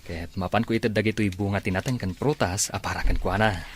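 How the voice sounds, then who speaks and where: A man's voice: low voice, steady tone, fairly quick, slight background noise, natural voice. A man playing an earnest, courteous young suitor in a radio-style drama.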